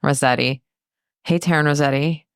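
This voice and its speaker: A woman in her thirties co-hosting a podcast, easygoing and agreeable, chiming in with quick yeahs. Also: low voice, fairly even intonation, clear, resonant tone, no echo, clean studio sound. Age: 30s. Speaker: podcast co-host. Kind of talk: casual chat.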